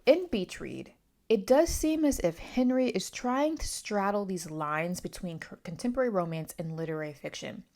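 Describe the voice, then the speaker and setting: A woman's voice: medium pitch, animated, normal speed, a little mellow. A woman talking casually through a book review, opinionated and emphatic, often wandering into asides.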